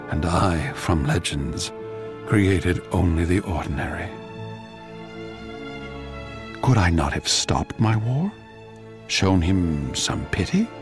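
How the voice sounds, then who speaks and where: A slow, bitter, theatrical court composer. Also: male voice, sits low, full character voice. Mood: bitter, scheming. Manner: theatrical, measured, confessional. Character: bitter ageing male court composer, anguished, envious, confiding. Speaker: actor reading a stage play as narrator. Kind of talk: dramatic scripted monologue for radio.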